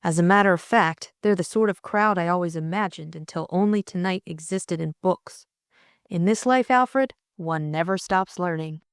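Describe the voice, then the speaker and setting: A woman voicing a brisk, unflappable young aristocratic sleuth, breezy and bossy, in an audiobook mystery. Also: fairly low pitch, clean tone, close to an everyday voice. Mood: wry.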